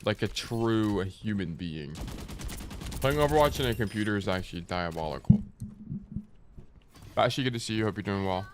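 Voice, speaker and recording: A man's voice: fairly low pitch, animated, normal speed, long pauses, a little hiss or hum. A young man streaming and coaching a game, chatting casually with a stuffy, nasal morning voice and rambling as he answers chat. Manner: rambling, joking, reads chat aloud and answers.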